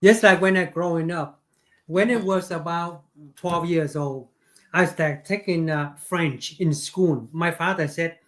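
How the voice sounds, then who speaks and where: A man from Vietnam with a Vietnamese accent, co-hosting a casual interview chat in halting, repetitive English with many fillers. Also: a little high.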